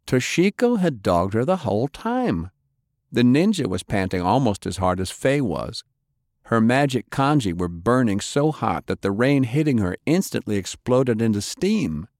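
A man's voice: medium pitch, big pitch swings, unhurried, darkish tone, no echo, clean studio sound. A man narrating action fiction as an audiobook, in a dramatic scripted style.

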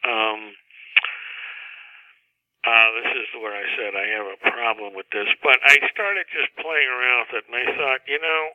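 A man, an author guest in a casual interview about writing, speaking in a halting, candid, self-deprecating way. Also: mid-range voice, dry recording. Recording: muffled phone-like radio line.

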